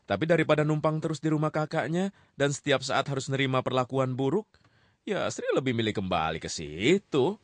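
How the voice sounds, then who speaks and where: A man playing the storyteller of a radio serial, narrating conversationally with a measured, teasing manner. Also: medium pitch, expressive, no echo, natural voice. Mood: storytelling.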